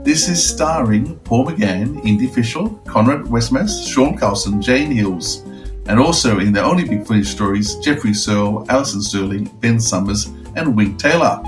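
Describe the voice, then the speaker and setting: A man with a mid-pitched voice speaks as a podcast co-host. He reads notes and chats in a casual reviewing style, with clear background music under him. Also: lively intonation, moderate pace. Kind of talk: reading notes, then casual review chat.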